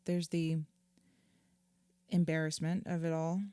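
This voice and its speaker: Audio drama: earnest female therapist, mellow tone, measured and reassuring, very dry studio-close sound. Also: low voice, completely flat delivery, clean tone, no room sound. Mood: empathetic, explanatory. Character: patient, earnest female therapist counselling a client. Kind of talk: naturalistic audio drama acting.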